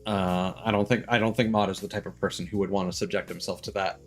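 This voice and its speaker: A man chatting casually as he hosts a game stream, rambling and halting, with stammers and offhand swearing. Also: low voice, fairly even intonation. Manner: casually profane. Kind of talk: casual livestream chat. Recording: music playing behind the voice.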